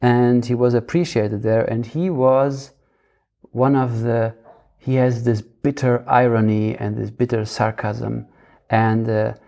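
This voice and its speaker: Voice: medium pitch, fairly even intonation, slow pace, vocal fry, dark tone. A person in a casual intellectual conversation, hesitant and searching for words. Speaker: panel discussion participant.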